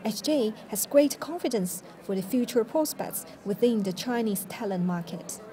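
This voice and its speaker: Female news narrator, slightly high register, very warm dark tone, scripted reportage delivery. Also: animated, normal speed.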